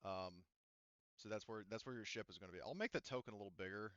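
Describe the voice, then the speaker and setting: A man with a low, smooth, resonant voice runs a tabletop game session, explaining rules patiently and filling pauses with ums and likes. The sound is dry and slightly dull, as from a home microphone. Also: fairly bright tone, no echo. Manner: patient, explanatory, many fillers. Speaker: game master.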